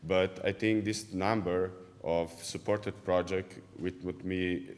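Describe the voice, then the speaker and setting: Deep-voiced man speaking in a hall. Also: fairly even intonation. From Slovenia. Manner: measured, earnest, with small restarts. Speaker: panel speaker, film director. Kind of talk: panel talk. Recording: hall reverb.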